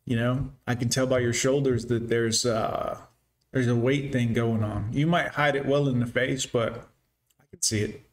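A man's voice: medium pitch, steady tone, moderate pace. A man hosting a reaction video, mocking the clips with sarcastic commentary aimed at his viewers. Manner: sarcastic, derisive, speaks to viewers as "guys".

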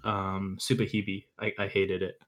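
A man's voice: sits low, little pitch movement, unhurried, a bit bright. Opinionated young man chatting as podcast guest. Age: young adult. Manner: informal, opinionated. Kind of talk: casual chat.

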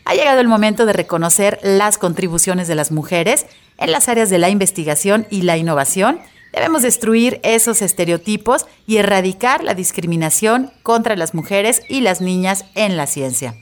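A woman hosts an educational radio program. She reads her prepared introduction steadily and informatively, her voice sitting at an ordinary middle pitch. Clean studio microphone sound. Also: normal speed. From Mexico. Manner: steady, informative reading from a script. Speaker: radio show host. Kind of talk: scripted radio narration.

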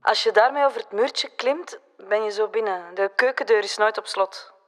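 A woman's voice: medium pitch, normal speed, fairly bright tone, natural voice. A Flemish actress playing a practical, matter-of-fact oddball in her thirties in an audio drama. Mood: hesitant asking.

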